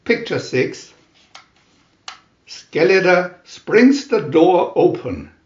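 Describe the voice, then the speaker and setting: A man reading a storybook aloud as dramatic narration, in a theatrical, deliberate manner with emphatic announcements. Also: sits high, very animated, a little mellow, reverberant, dull audio. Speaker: reader of picture-reel story booklets.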